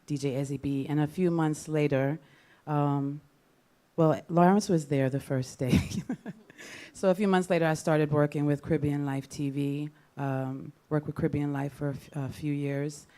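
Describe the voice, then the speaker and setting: A woman's voice: sits low, fairly even intonation, moderate pace, dark tone. A woman speaking informally as a radio host on a panel, with an upbeat, playful way of talking. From Jamaica. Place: live panel event with an audience.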